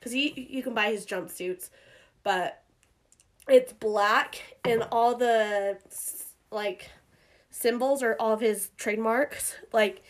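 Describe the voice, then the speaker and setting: A woman hosting a podcast in casual chat, rambling with lots of fillers, her voice a little hoarse from a cough. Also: a little high, takes it slowly. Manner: chatty, rambling with many fillers and restarts. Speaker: podcast host.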